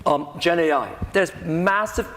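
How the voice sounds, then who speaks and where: A man's voice: on the high side, measured pace, fairly bright tone, a little hiss or hum. A man speaking on a panel, tagging his points with "right?" and "I think". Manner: peppers speech with "right?" and "I think". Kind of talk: panel discussion. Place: conference hall. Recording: echoey conference hall.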